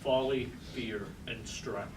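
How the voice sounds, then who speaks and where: A man's voice: mid-range voice, fairly even intonation, takes it slowly, natural voice. A man as a somber chorus member, echoing. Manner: declamatory verse delivery. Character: somber male Greek tragedy chorus member. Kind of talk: staged reading of verse tragedy. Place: indoor room at a live staged reading. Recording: echoey room from far off, noisy.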